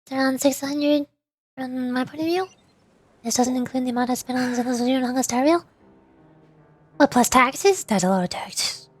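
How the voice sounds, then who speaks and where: A woman's voice: high-pitched, moderate pace, long pauses, soft background music. A woman livestreaming a game, chatting casually in an informal, rambling way. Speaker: VTuber game streamer.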